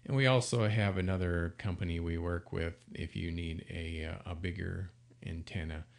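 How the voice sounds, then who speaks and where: A man with a low-pitched, gravelly voice presents products in an informal, unscripted demonstration. He is plain-spoken and explains things step by step, with a halting flow. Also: steady tone. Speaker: product presenter for an intercom seller.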